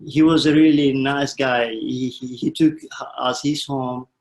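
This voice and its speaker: Halting young man, gravelly voice. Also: medium pitch, fairly even intonation. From Somalia. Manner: halting, many restarts and fillers. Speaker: panel guest telling his refugee story. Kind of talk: personal testimony in a panel conversation.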